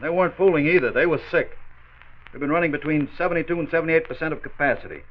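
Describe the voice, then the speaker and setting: Man acting as loyal plant supervisor, slightly high voice, earnest, deferential, 1940s radio drama, muffled sound. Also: quick pace, close to an everyday voice. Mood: earnest, explaining. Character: loyal, apologetic plant supervisor, long-serving employee.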